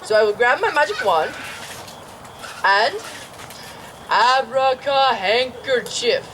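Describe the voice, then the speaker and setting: A woman's voice: a little high, animated, takes it slowly, fairly bright tone, slight background noise, pitched below the performer's own voice, low-quality recording. A woman voicing a pompous male magician puppet in a home puppet show, announcing his tricks grandly. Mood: showy, defensive.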